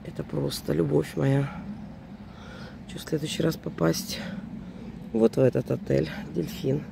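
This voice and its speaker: A woman in her forties with a hoarse voice from a cold, chatting in a rambling, casual way while walking around a seaside resort. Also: low voice, fairly even intonation, a little slow, noisy background. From Russian-speaking, living in Germany. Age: 40s. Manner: chatty, rambling, self-correcting. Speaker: vlogger. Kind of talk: casual walking vlog commentary. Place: outdoors at a seaside resort in early morning.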